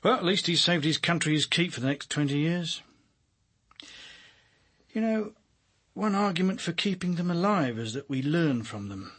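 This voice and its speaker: A world-weary male police boss in a radio play. His voice is a little high and husky, with dry sarcasm and blunt authority. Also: lively intonation, moderate pace, long pauses, fairly bright tone. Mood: sardonic, musing. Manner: dry, wry, commanding. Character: sardonic, driven senior police detective, blunt and world-weary. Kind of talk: radio drama acting.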